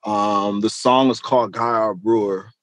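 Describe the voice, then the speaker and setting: A man's voice: lowish voice, steady tone, measured pace, no room sound. Casual New man with a dry sound. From Queens, New York, United States. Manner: slangy, profane, loose and conversational. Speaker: interview guest, rap musician. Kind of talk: casual interview chat.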